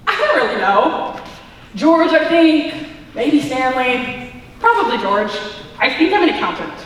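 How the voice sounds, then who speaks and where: A woman's voice: sits high, big pitch swings, measured pace, a bit bright, full character voice. A young woman playing a confused accountant mistaken for an understudy in a stage play, recorded in an auditorium. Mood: bewildered, hesitant. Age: young adult. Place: high school auditorium stage. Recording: echoey auditorium, distant mic, faint noise.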